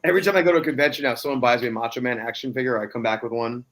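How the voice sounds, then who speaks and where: A man's voice: medium pitch, very fast, a bit bright. Easygoing man in his thirties. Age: 30s. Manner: easygoing, rambling storyteller. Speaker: guest cosplayer. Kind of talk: casual podcast chat.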